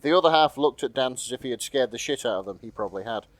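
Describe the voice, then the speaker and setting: A man's voice: medium pitch, expressive, fast talker, no echo. A British man co-hosting a podcast with casual, jokey banter, fond of puns and swearing, sometimes reading aloud. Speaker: podcast co-host. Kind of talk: casual comic banter with read-aloud bits.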